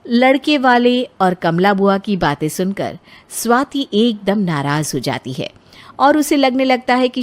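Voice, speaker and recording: A woman reading scripted narration for a moral story. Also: medium pitch.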